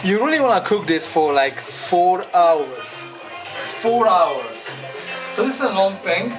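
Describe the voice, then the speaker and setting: A man's voice: high voice, very animated, slow, music in the background. A young Hungarian man with a Hungarian accent, chatting casually in English for a vlog outdoors in the cold, laid-back and swearing freely.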